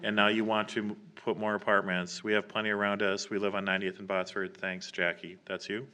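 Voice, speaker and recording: A man chairing a public meeting in an informal, halting way, reading out names and written comments. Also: lowish voice, flat delivery, pushed and bright. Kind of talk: running a public meeting, reading emails aloud. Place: council chamber, public meeting.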